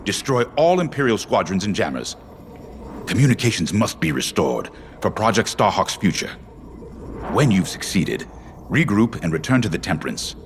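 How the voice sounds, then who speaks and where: A deep-voiced, stern rebel commander. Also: male voice, big pitch swings, moderate pace, slight background noise. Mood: commanding. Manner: authoritative, clipped, formal military briefing. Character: stern, measured male rebel commander, an Imperial defector. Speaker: squadron commander giving briefings. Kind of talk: scripted cinematic game acting. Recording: loud orchestral score behind. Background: cinematic music.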